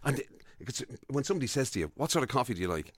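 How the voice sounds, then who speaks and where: A man's voice: mid-range voice, very animated, very fast, crowd noise. A man with an Irish accent co-hosting a radio show, bantering casually in a wry, teasing way.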